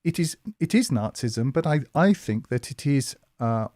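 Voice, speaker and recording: A man delivers a thoughtful solo commentary, pausing often with "um" and "uh" and circling back to rephrase. His voice is warm and relaxed in tone, sitting at a middling pitch. The sound is dry and close, with no room around it. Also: no echo. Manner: measured, hesitant, many fillers and restarts. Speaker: commentary channel host. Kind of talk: spoken commentary monologue.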